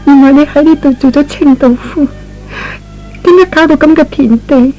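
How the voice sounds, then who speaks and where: A man voicing a tender, devoted, injured young woman in a radio drama, speaking plaintively and haltingly. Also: very high-pitched, fairly even intonation, darkish tone, faint music behind, low-quality recording. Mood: weak, anxious. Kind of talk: Cantonese radio drama acting.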